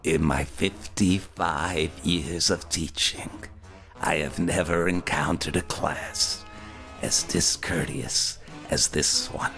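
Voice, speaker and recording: A man playing a stern, scornful elderly schoolteacher in a radio drama, scolding in a formal, deliberate way. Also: extremely low pitch, fairly even intonation, fairly bright tone, faint music behind, full character voice. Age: older. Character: stern, scornful elderly schoolteacher with fifty-five years of teaching.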